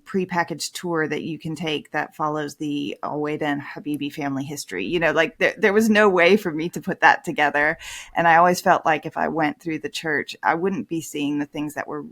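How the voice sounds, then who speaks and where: A woman in her thirties, a priest and author, speaking candidly in an interview and swinging from soft reflection to emphatic stress. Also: deep voice, moderate pace.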